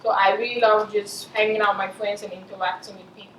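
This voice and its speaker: A woman's voice: medium pitch, little pitch movement, fast talker, fairly bright tone. A young woman with a Cameroonian accent, chatting casually as a guest on a vlog. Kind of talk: casual chat. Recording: echoey room, distant mic, background noise.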